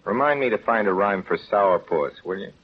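A man's voice: lowish voice, animated, moderate pace, close to an everyday voice. An actor playing a plainspoken, earnest small-town man in 1930s radio acting style, on a tinny, noisy broadcast. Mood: wary.

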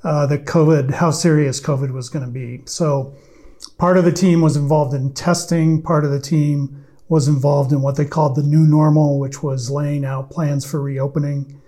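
A man, a podcast guest, speaking with a raspy voice from allergies and explaining things in a measured way with some fillers. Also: on the high side, little pitch movement, warm tone. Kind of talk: conversational interview answers.

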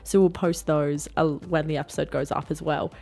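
A woman's voice: deep voice, fairly even intonation, moderate pace, vocal fry, a little mellow. A young woman co-hosting a podcast, chatty and full of "like". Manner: chatty, uses "like" a lot. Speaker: podcast co-host. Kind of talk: casual podcast chat and street reporting.

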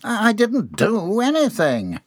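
A man's voice: fairly high pitch, sing-song, a little slow, no room sound, clean studio sound, pitched above the performer's own voice. A man voicing a hapless, whining employee in an audiobook, confused and hesitant, feigning innocence. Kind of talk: dramatised audiobook dialogue.

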